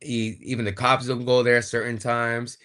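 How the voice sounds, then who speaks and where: A man, a wrestling guest, explaining things in a relaxed, casual way. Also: medium pitch, normal speed. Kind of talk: casual chat.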